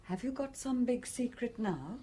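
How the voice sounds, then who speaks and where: A woman's voice: on the high side, animated, measured pace, dark tone, breathy edge. A woman playing a cunning carer who coaxes and needles, in a radio drama. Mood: needling. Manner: coaxing, needling. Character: cunning female carer coaxing a dangerous youth.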